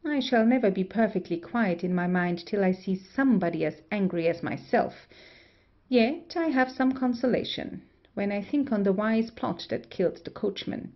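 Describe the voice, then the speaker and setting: A mischievous period gentlewoman acted by a woman speaks with arch, teasing wit. The voice is creaky and medium in pitch. The recording sounds a bit dull. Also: lightly acted. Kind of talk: Restoration comedy audio play acting.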